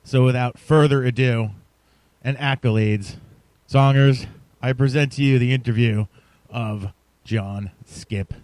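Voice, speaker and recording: Male podcast host, mid-pitched, effusive and showy, recorded dry and close. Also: fairly even intonation, unhurried, darkish tone, no room sound. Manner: effusive, showman-like, addresses listeners directly. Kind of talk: enthusiastic podcast introduction and interview.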